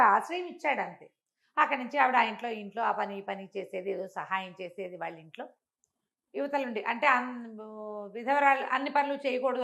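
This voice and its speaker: An older woman telling a traditional moral story in a conversational interview, acting out the characters' speech with lively jumps in voice. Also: creaky. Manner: animated, acts out quoted speech with big pitch jumps.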